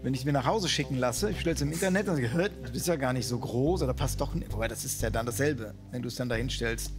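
A man's voice: mid-range voice, quick pace, over music. A man competing on a quiz show, chatting and reasoning through an answer with his partner. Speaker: quiz contestant. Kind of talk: casual chat.

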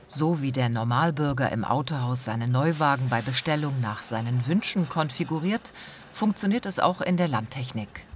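A woman reading a scripted documentary voice-over in a calm, measured, informative way. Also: extremely low pitch, slight background noise. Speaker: documentary narrator.

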